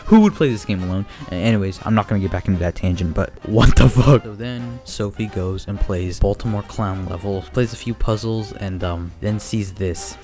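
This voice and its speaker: A man with a fairly low voice reviews videos in a casual, slangy way. He rambles and swears with mock exasperation, and faint music runs under his commentary. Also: moderate pace, hardly any pauses, mellow. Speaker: YouTube reviewer.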